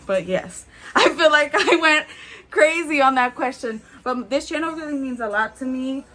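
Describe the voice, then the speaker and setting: A woman in her twenties chatting candidly as a beauty vlogger while doing her makeup, with frequent "like"s and soft laughs. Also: high voice, expressive, moderate pace. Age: 20s.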